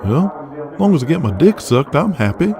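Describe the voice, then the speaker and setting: A man playing an eager, loyal wrestling booker in an audio drama, pitching things in an informal, persistent way. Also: big pitch swings, moderate pace, a crowd in the background. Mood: casual.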